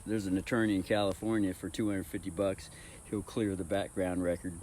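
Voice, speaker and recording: A man's voice: low-pitched, level tone, a little fast, a little mellow. A man rambling casually in a home vlog, with a profane, unfiltered way of talking. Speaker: vlogger. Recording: clearly noisy ambient background.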